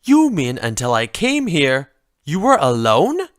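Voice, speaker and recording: A woman voicing a mischievous male chaos creature with a soft heart, theatrical and teasing, in a story reading. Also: deep voice, huge pitch swings, measured pace, dry recording, studio quality, full character voice. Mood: upbeat, insistent.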